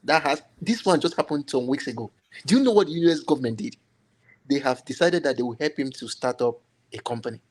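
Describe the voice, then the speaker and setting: A man's voice: mid-range voice, brisk. A man born in Cameroon to Nigerian parents, cutting in insistently during a heated panel discussion. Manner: insistent, keeps breaking in to take the floor. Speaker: panel guest.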